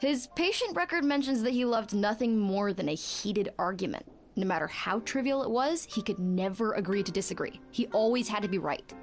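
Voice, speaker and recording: A woman's voice: mid-range voice, animated, a little fast, lightly acted. Woman acting a blunt, wry game character over music. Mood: wry, dismissive. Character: blunt, exasperated woman gossiping about a troublesome patient. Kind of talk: video game dialogue acting.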